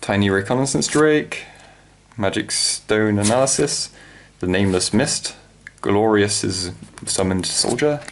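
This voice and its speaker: A man's voice: on the low side, unhurried, a little hiss or hum. A man gives casual, unscripted unboxing commentary. He thinks aloud as he goes, hesitating and rambling like a hobbyist presenter. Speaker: trading card unboxing presenter.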